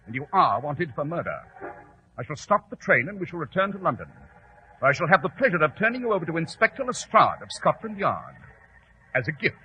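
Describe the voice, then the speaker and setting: An actor playing a commanding, sardonic gentleman detective, crisp and imperious, in 1940s radio acting style. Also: on the high side, sing-song, a little fast, muffled recording, a little hiss or hum, exaggerated voice. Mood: triumphant. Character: commanding, coolly triumphant male gentleman detective. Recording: old radio recording with music underneath.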